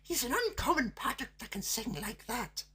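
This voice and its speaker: A woman's voice: sing-song, fairly bright tone, heavily acted. A woman voicing a young daughter who is frightened and cross. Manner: very high, quick and pleading. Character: young daughter, pretty lassie, sulky and frightened. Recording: faint guitar music behind.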